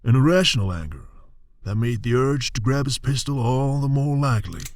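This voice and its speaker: An actor playing a folksy old-west storyteller, narrating a western radio serial in a wry manner. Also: male voice, medium pitch, expressive, fairly quick, lightly acted. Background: music behind the voice.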